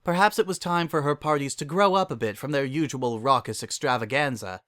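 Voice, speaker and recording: A man giving an expressive, animated dramatic reading as a story narrator. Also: mid-range voice, a little fast, dry recording.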